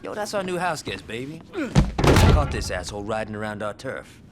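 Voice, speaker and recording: A man's voice: lowish voice, very animated, normal speed, very noisy. A man playing a brash, cocky American street gangster in a game cutscene, talking in casual, profane slang. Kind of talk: video game cutscene acting.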